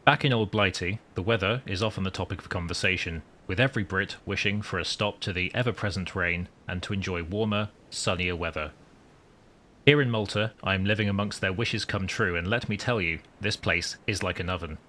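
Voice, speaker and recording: A man's voice: sits low, fairly quick, pushed and bright, slight background noise, pitched below the performer's own voice. A man voicing a wry, newly promoted wartime submarine commander, narrating his story in formal, dry understatement. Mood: wry, measured. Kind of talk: scripted first-person story narration.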